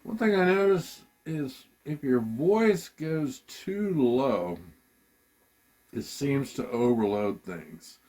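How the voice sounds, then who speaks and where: Slow, unhurried man demoing gear. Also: mid-range voice, lively intonation, darkish tone. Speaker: musician demonstrating gear. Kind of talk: casual product demo talk.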